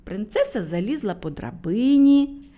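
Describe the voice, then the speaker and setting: A woman reading a fairy tale aloud for children, speaking gently and addressing them directly. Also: medium pitch, big pitch swings, a little slow. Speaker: storyteller for children.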